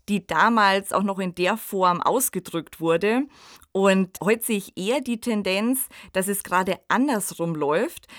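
Woman doing podcast co-host talk, mid pitch, no room sound. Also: no room sound, studio quality. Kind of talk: podcast discussion and sign-off.

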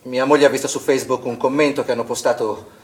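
A man's voice: mid-range voice, steady tone, fast, lightly acted. A man acting a worried, suspicious father in a stage drama, pressing his listener with pointed, accusatory questions. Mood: anxious, grave. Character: worried, suspicious, insistent father of a young swimmer. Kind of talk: theatrical stage drama dialogue. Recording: roomy echo, faint background noise.

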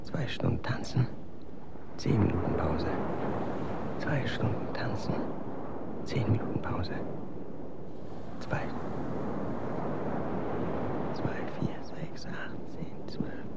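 An actor playing a tired, gently persistent young man in a radio drama, earnest and coaxing. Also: lowish voice, lively intonation, takes it slowly, long pauses, whispered, heavily acted. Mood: drained, muttering. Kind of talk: German radio drama acting. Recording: clearly noisy background ambience.

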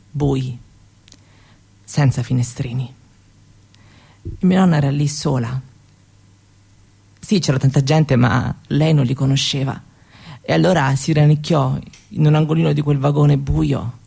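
A woman's voice: low voice, measured pace, gravelly, long pauses, warm tone, breathy edge, full character voice. An actress playing a young Roma woman who shyly tells her grandmother's story in a hesitant, conversational theatre monologue. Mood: grave, sorrowful. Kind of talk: theatrical monologue told as spoken testimony.

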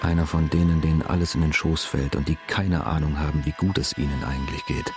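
Enigmatic stranger posing as a husband, low gravelly voice, calm, controlled, provocative, radio drama. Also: male voice, a little fast, music in the background. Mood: brooding.

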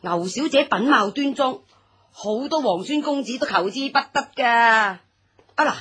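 A woman playing a gushing, persuasive matchmaker in a Cantonese radio drama, coaxing and deferential in a husky voice. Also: on the high side, animated, measured pace, a bit bright, full character voice. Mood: flustered coaxing.

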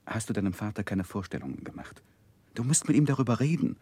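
A man acting an earnest, lovestruck young gentleman who recalls his youth in formal, gently self-mocking in a 1960s radio drama. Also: fairly low pitch, sing-song, fast talker, husky, no echo. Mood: alarmed, urgent. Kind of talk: literary first-person narration, 1960s German radio drama.

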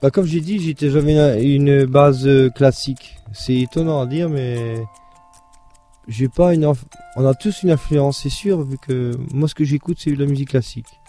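A man's voice: mid-range voice, normal speed, dark tone, faint music behind. A man from France with a Belgian French accent, a composer rambling through casual interview answers. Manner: rambling, repeats himself. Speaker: composer of a duo.

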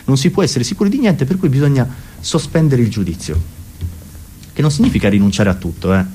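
A man's voice: mid-range voice, animated, brisk, dark tone, slight background noise. A man delivering a comic philosophical monologue in a rambling, self-correcting, mock-academic manner. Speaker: comedian and monologuist.

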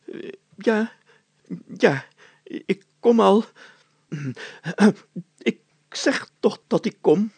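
A man's voice: high voice, drawn-out words, pauses often, darkish tone, husky, no room sound, voice pushed up, heavily acted. A man voicing a plump, fearful older mayor who stammers, blusters and protests in a children's story. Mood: panicky, hesitant. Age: middle-aged to older.

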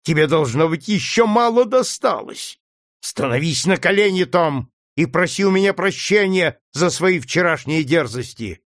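A man voicing a cruel, brutal male plantation master who shouts and mocks. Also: on the high side, big pitch swings, normal speed, no room sound, studio quality, pitched above the performer's own voice. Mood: furious. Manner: harsh, shouting, mocking.

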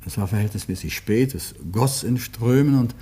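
A male actor plays an earnest gentleman in a classic radio drama. He speaks with deliberate, measured diction, as someone living through a troubled love affair. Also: mid-range voice, a little hiss or hum, lightly acted. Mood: grave, reflective. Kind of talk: German radio play acting.

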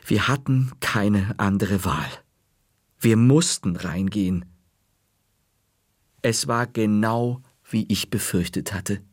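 A man narrating a children's story in a measured, dry-humored way. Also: on the low side, no echo.

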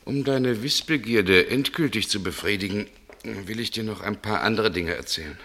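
A man's voice: fairly low pitch, normal speed, sharp tone. An actor playing a suave, sardonic man with a shady past, dry and nonchalant in a German radio drama. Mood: frank, hardened.

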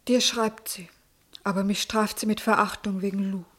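Young sister female actor: soft-edged breathy voice at middle pitch, sensitive, naive, quick to take offence, radio play. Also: fairly even intonation, natural voice. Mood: hurt, indignant. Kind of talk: German radio play acting.

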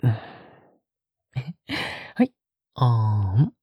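Breathy, sickly-sweet possessive young man, acted by a man. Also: mid-range voice, sing-song, extremely slow, dry recording, lo-fi sound, exaggerated voice. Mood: doting, obsessive. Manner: soft, coaxing, often dropping to a breathy whisper. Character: possessive, sickly-sweet young male captor doting on a pet-like girl. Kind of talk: intimate audio drama acting, spoken to the listener.

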